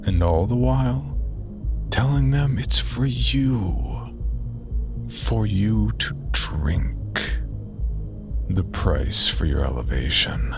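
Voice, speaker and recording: A cold vampire lord speaks extremely slowly in a low voice, with music behind. Every phrase is imperious and menacing, in audio drama villain style. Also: male voice, animated, gravelly, heavily acted. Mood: cruel, menacing. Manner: slow, menacing, near-whispering at times.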